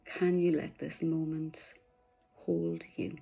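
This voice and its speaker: A woman leading a guided meditation in a soft, soothing voice, slipping at times into breathy whispers. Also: sits low, flat delivery, slow.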